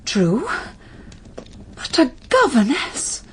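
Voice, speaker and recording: An actress playing a genteel middle-aged Victorian mother in an audio drama, with a measured, admonishing manner. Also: sits high, wildly swooping pitch, a little hiss or hum, exaggerated voice. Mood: worried, doubtful. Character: brisk, genteel Victorian mother, practical and gently sceptical. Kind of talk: period audio drama acting.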